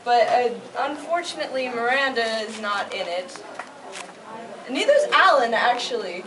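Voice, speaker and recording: High-voiced woman hosting in an echoey room. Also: expressive, moderate pace. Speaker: fan convention panel host. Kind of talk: playful live panel banter. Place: echoey room at a fan convention. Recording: echoey, distant mic, crowd noise. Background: audience chatter.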